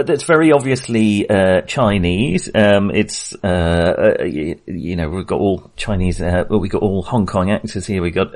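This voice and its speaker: Low-pitched man, hesitant film chat. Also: darkish tone. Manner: hesitant, rambling. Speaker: podcast co-host. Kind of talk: casual film discussion.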